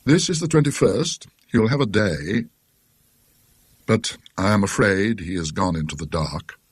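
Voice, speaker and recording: A British man voicing an anxious, polite, conscience-stricken gentleman in a ghost story. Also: fairly low pitch, animated, a little slow, breathy edge, no room sound. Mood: uneasy.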